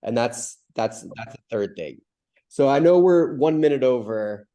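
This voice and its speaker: A man's voice: mid-range voice, expressive, a little slow, warm tone. A man with a stuffy nose from a cold hosts a community video call, explaining ideas in a loose, rambling way with many fillers and restarts.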